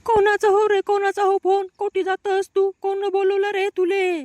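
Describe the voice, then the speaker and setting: A woman's voice: very high-pitched, monotone, normal speed, a little mellow, no room sound, clean studio sound. A woman voicing a suspicious, nagging elderly village woman with a rural Marathi accent in a comic cartoon. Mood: nagging suspicion. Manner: nagging, scolding.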